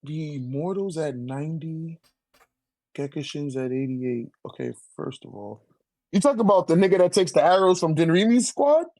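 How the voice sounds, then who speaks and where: A man's voice: fairly high pitch, animated, normal speed, dark tone, no echo. Slangy, argumentative man, dry close sound. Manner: slangy, profane, argumentative, repeats phrases for emphasis. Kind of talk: casual debate, chat.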